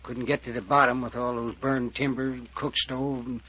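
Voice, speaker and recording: An actor playing a gruff, plain-spoken, secretive older small-town man in old-time radio drama, terse and folksy. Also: mid-range voice, flat delivery, normal speed, close to an everyday voice. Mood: matter-of-fact. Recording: dull, band-limited old radio recording.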